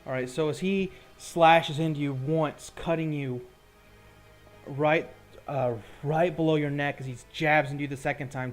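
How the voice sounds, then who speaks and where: A man with a slightly high voice runs a tabletop roleplaying game as game master. He describes combat in vivid, gory detail, then drops into plain rules talk. Faint music plays along with his voice.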